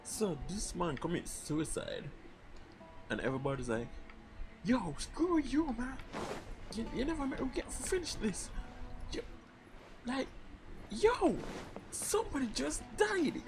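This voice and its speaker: Animated creaky male game streamer over music. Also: extremely high pitch, measured pace, pauses often. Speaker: game livestreamer. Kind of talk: casual reaction commentary with slang and swearing.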